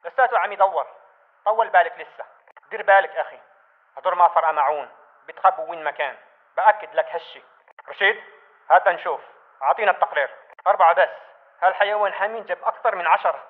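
A man playing a gruff militant talking to comrades in a video game, heard through a thin band-limited channel. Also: high-pitched, racing, long pauses, narrow, tinny audio, natural voice. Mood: tense.

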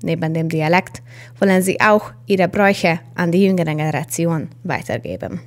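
A young woman reporter reading a scripted television news voice-over in an even manner. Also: on the low side, moderate pace. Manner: even, read-out delivery.

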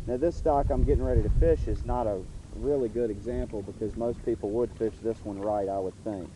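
A man's voice: on the low side, fairly quick, mellow, low-quality recording. A middle-aged man casually explaining fishing tips step by step from a boat on a lake, picked up by a camera mic a few feet away. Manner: easygoing, explains step by step with restarts. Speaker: fishing instructor. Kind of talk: casual how-to talk while fishing. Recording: wind and water noise behind.

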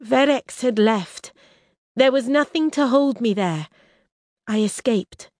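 A woman voicing a proud, demanding young princess in an audiobook reading, speaking high and forcefully. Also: takes it slowly, dry recording, clean studio sound. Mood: insistent.

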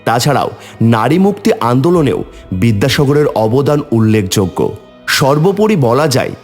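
A man of medium pitch narrates a scripted story in a lively, expressive way. His voice carries a long reverb, with faint music underneath.

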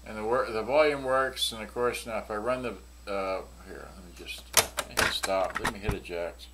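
A man with a fairly low voice talks through an unscripted product demonstration. He rambles and mutters to himself as he works. The recording is very noisy, made indoors at a workbench. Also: normal speed. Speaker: product reviewer and seller demonstrating vintage electronics. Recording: noisy room recording with clicking sounds.

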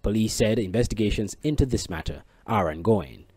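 A man's voice: fairly low pitch, lively intonation, a little fast, warm tone, breathy edge, dry recording, studio quality. A man reading scripted news reports as a reporter.

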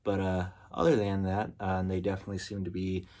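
A man's voice: deep voice, little pitch movement, a little fast, mellow. A young man in his twenties talking casually to the camera from a small bedroom, rambling a little with fillers like uh and um. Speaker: skateboarding vlogger. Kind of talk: casual vlog talk to camera.

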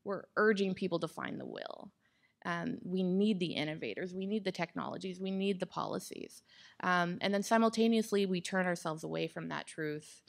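A woman in her thirties speaking in an articulate, measured way as an interview guest on stage. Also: on the low side, gravelly. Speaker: guest expert on climate psychology. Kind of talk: thoughtful interview answers on stage. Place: indoor stage with wood-slat backdrop. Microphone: microphone on boom stand.

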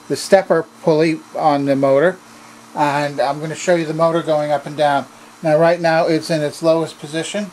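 A man presenting an unscripted hands-on technical demonstration in a halting, methodical, explanatory way. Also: medium pitch, poor audio. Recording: noticeable background noise.

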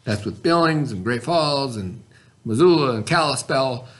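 A middle-aged man with an American accent speaks in a mid-pitched voice. He reads aloud to young pupils like a schoolteacher, stopping to explain and check that they follow. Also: expressive, measured pace, a bit bright. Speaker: elementary school teacher. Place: indoor school classroom.

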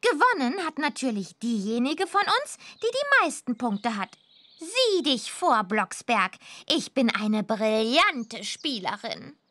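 A woman's voice: a little high, very animated, slow, bright, forceful tone, heavily acted. A woman playing a cocky, teasing punk teenage witch girl in a children's computer game, with a boastful, slangy way of talking. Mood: cocky, challenging. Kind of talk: animated children's game voice acting.

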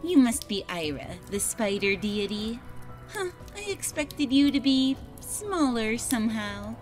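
Woman as a cocky catgirl mage for a visual novel, kinda high voice, takes her time, music behind. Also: very animated, measured pace. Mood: smug. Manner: teasing, self-assured. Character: confident, cheeky catgirl mage.

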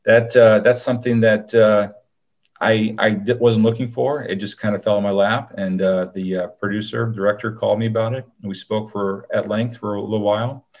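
A man talking casually as an actor being interviewed, rambling along with frequent pauses. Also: fairly low pitch, steady tone, muffled recording.

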